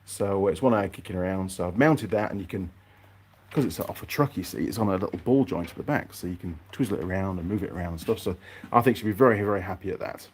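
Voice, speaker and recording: A man's voice: sits low, quick pace, darkish tone, breathy edge. A man chatting casually and unscripted to his viewers as a mechanic vlogger, rambling along and thinking aloud in his workshop.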